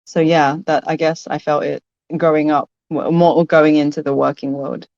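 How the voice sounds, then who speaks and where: A woman from London, a podcast guest who answers thoughtfully, pausing and restarting phrases. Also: low-pitched, level tone, vocal fry, a little mellow, no echo, muffled recording. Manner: thoughtful, hesitant, restarts phrases and laughs often. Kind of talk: casual interview conversation.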